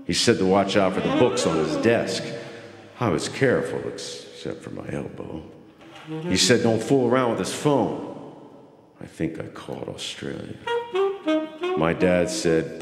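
A man reading a children's story dramatically, with a deliberate, grumbling delivery like a sulky child narrator. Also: a bass voice. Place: large library event room with audience. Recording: roomy live hall with loud saxophone. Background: loud live saxophone.

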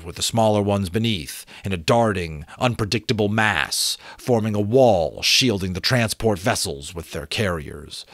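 A middle-aged man reading a novel aloud as expressive, deliberate dramatic narration, close to a desk microphone. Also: fairly low pitch, no echo. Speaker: amateur audiobook reader. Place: small indoor room with bookshelves.

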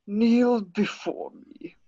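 A man voicing an ancient alien Sith master in a fan table read, raspy and creaky, mocking and menacing in manner, over a video call. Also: sits high, dark tone, no room sound, lo-fi sound, full character voice. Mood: commanding. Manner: slow, menacing, amused. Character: ancient spindly alien Sith master, seven thousand years old, mocking.

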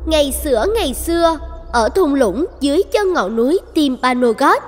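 A woman's voice: high voice, lively intonation, normal speed, in a large hall. A woman playing a fairy-tale storyteller, narrating the opening of a legend in scripted storybook style. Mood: calm storytelling.

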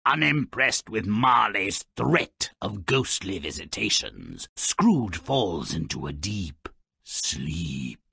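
A grubby, cynical imp narrating twisted fairy tales in a video game. The voice is raspy and harshly bright, drawn out at a slow, theatrical pace. The delivery is mocking and gleefully hammy. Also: male voice, lowish voice, very animated, no echo, studio quality, full character voice. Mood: sardonic narration. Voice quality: gravelly, raspy.

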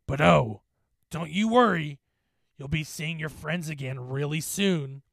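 A man voicing a menacing, vengeful demonic specter in a horror story, with shouted, drawn-out threats. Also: a little high, fairly even intonation, no room sound, studio quality, lightly acted. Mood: gloating menace.

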